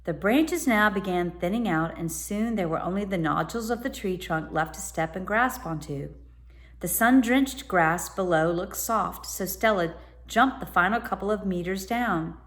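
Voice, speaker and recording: A woman with a mid-pitched voice reads a children's story aloud. She narrates like a teacher and stops for short explanatory asides. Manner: pauses to explain words to listeners.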